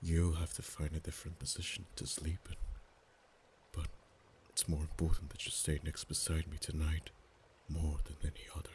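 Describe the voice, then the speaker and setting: Ancient proud dragon played by a man: very low, flat, unhurried, soft whispers, faint hiss behind. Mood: musing. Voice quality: very deep, soft-spoken. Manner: slow, measured, drops to whispers. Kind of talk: ASMR roleplay voice acting.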